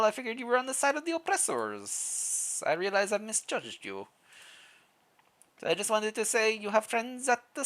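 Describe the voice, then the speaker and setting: An earnest working man from a village sawmill as a game streamer acts him out, raised in pitch and bright. He speaks with impassioned, rhetorical fervor and a loose foreign-sounding accent, like a comrade rallying his friends in a story game. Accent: uncertain foreign-sounding put-on accent. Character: earnest, rousing male sawmill worker, fervent village comrade.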